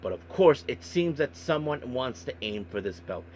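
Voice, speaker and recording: A man hosting a podcast monologue, recapping and commenting on events in a halting way with repeated filler phrases. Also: mid-range voice, very animated, a little mellow, a little hiss or hum, poor audio. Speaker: wrestling podcast host.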